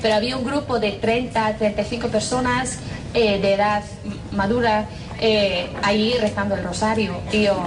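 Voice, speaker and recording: A woman's voice: mid-range voice, normal speed. A woman from Northern Ireland with an Irish accent and English as her first language, giving a humorous, self-mocking testimony and acting out the quotes in a raised voice. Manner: lively storyteller who acts out quotes in a raised voice, self-mocking. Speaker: speaker giving a personal testimony. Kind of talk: humorous testimony talk to an audience. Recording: distant mic with audience and noise behind.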